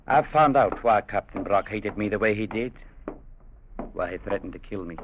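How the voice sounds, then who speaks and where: Man playing a low-voiced, firm trader. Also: moderate pace, a little hiss or hum, natural voice. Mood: earnest, calm. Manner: earnest, old-fashioned turns of phrase. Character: principled, peace-loving Scottish trader, firm and stern. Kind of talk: 1950s radio drama acting. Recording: muffled vintage radio recording.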